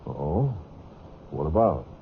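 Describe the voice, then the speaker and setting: A man's voice: a bass voice, big pitch swings, takes it slowly, vocal fry, exaggerated voice. A man playing a guarded, uneasy guilty friend in a vintage radio drama. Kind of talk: 1950s radio drama acting. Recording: muffled, hissy old radio recording.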